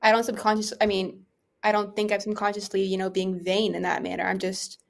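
A woman's voice: medium pitch, flat delivery, fairly quick, vocal fry. A young adult woman co-hosting a podcast, relaying questions and discussing them in an informal, conversational way full of youthful filler words. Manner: informal, peppered with "like" and "you know".

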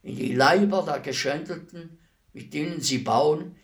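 Slow, grave, philosophical executioner. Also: male voice, fairly high pitch. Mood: solemn, reflective. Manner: measured, grave, deliberate declamation. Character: despised executioner, calm, philosophical killer addressing his victim. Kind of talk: solemn radio play monologue.

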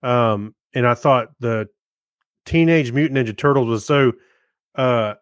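Casual male co-host with halting delivery. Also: mid-range voice, clean tone, dry recording, clean studio sound. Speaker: podcast co-host. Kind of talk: casual review chat.

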